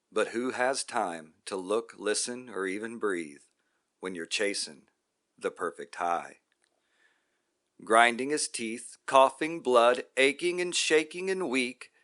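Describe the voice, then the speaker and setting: Low-voiced man reciting a poem. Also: fairly bright tone. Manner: rhythmic, theatrical reading. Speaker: poetry reader.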